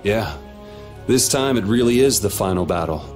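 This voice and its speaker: A man acts a young wandering swordsman from a fantasy game. His voice sits low and he talks casually, with dry, understated wit. Music plays faintly behind. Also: lively intonation, moderate pace, a little mellow, lightly acted. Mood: wry, calm. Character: laid-back, wry young male wandering swordsman. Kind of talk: video game voice acting.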